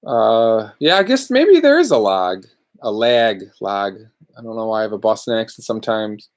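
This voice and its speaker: A man talks loosely to viewers from his shop during a live question-and-answer stream. His voice sits a little low and his delivery is relaxed and rambling, jumping from one comment to the next. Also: moderate pace. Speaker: luthier podcast host. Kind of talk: casual live Q&A chat. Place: workshop.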